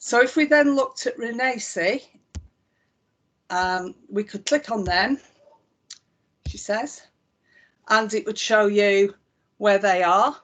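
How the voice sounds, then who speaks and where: A woman with a Lancashire accent leads a live walkthrough of a website. She talks through each click in a relaxed, conversational way with plenty of ums. Her voice sits at an ordinary middle pitch. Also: slow pace, pauses often. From Northwest England. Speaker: chief executive demonstrating a website. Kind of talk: live software demonstration.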